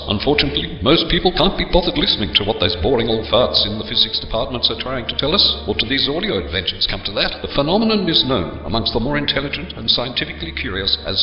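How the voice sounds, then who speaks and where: A man's voice: medium pitch, very animated, quick pace, few pauses, close to an everyday voice. A man playing the narrator of a comic space audio drama, reading scripted lines in a dry, mock-solemn way. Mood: mock-serious. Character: mock-serious male storyteller of a space comedy. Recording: echoey hall, distant, muffled, faint music.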